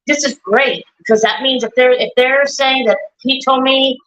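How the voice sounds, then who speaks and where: Loud, bright-voiced talkative woman. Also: sits high, steady tone, normal speed, highs cut off. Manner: loud, talkative, repeats herself. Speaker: guest, bereaved mother. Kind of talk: live stream conversation. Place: echoey room at home.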